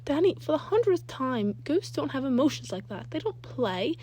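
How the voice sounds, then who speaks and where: A woman's voice: sits high, very animated, normal speed, mellow, slight background noise, voice pushed up. A woman voicing a worried, hot-tempered mother in a story, insistent and scolding. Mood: frustrated, scolding.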